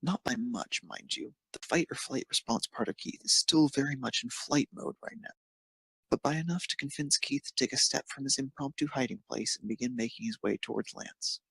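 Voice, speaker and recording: Husky, creaky male narrator. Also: medium pitch, a little fast, fairly bright tone, dry recording, rough recording. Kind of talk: scripted fan fiction narration.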